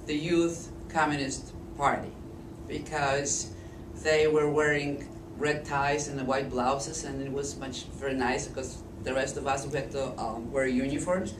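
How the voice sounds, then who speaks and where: Hoarse woman in her fifties with a Polish accent, speaking slowly and carefully; distant camcorder sound in an echoey room. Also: low voice, noisy background. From Poland. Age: 50s. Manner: slow, deliberate speech with frequent restarts. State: hoarse, voice lowered by illness. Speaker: interviewee recounting life story. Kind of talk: oral history testimony. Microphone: Flip camcorder at a distance.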